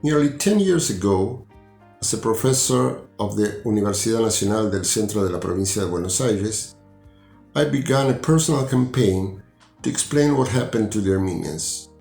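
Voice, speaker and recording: A man's voice: lowish voice, lively intonation, moderate pace, vocal fry. Argentine man, earnest reflective narration. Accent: Argentine Spanish. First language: Spanish. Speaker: university physics professor. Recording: echoey room with soft music.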